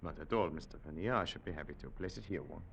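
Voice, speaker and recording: Radio drama planter: very low voice, formal, reserved, European gentleman, faint hiss. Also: vocal fry. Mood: obliging. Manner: formal, courteous, measured wording. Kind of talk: 1940s radio acting. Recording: faintly noisy old radio recording.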